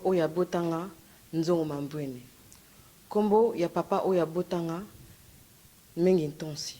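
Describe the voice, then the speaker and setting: An earnest speaker addresses a conference audience in a mid-range voice. The delivery is hesitant and sincere, full of pauses, fillers and restarts. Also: mid-range voice, a little mellow, slight background noise. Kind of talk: prepared public address.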